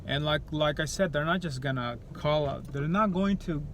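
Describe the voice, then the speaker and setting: A man's voice: on the high side, moderate pace, fairly bright tone, slight background noise. A man from El Salvador talking straight to camera in an opinionated vlog, blunt and profane, often restarting his sentences. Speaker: YouTuber.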